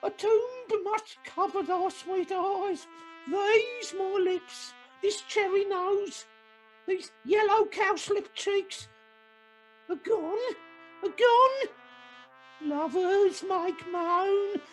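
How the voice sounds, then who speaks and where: Radio Shakespeare: overwrought mourning maiden, piercingly high, very slow declaiming, music underneath. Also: male voice, exaggerated voice, rough recording. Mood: tragic lament. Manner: drawn-out mock-tragic declaiming. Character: amateur player as maiden Thisbe, shrill, overwrought, mourning.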